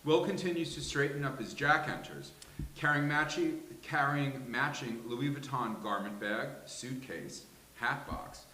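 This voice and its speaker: Casting: male table-read narrator, crisp-edged forceful tone, echoey room with faint hiss. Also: mid-range voice, a little slow. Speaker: stage directions reader. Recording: roomy live venue, hiss.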